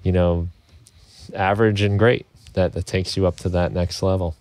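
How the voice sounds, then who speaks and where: A man hosting a music production podcast, chatting in a relaxed, conversational way over a video call from his home studio. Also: low-pitched, moderate pace, no room sound. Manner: relaxed, conversational, chuckles while talking. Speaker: podcast host. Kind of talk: casual interview chat.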